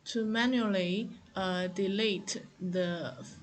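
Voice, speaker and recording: A woman giving an unscripted product tutorial, explaining step by step in a halting, hesitant manner. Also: mid-range voice, a little hiss or hum. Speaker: product demonstrator.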